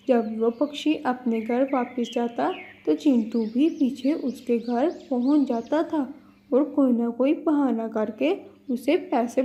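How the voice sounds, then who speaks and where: A woman's voice: a little high, warm tone, lo-fi sound, slight background noise. A woman narrating a scripted children's story as storyteller.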